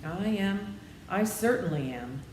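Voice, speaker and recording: A woman's voice: medium pitch, expressive, takes it slowly, a little mellow, roomy sound, long reverb tail, a little hiss or hum, voice pushed up. A woman voicing a meek little humbug wizard, a trembling, apologetic man in a storybook reading. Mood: sorrowful. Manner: meek, pleading.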